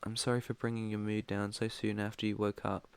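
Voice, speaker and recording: A low, even British male voice as a man acts out a protective vampire master in an ASMR roleplay. He is soft-spoken and deliberate, haunted but tender, keeping his pitch in a narrow band. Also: clear, resonant tone, close to an everyday voice. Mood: gentle, apologetic. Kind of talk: intimate ASMR roleplay monologue.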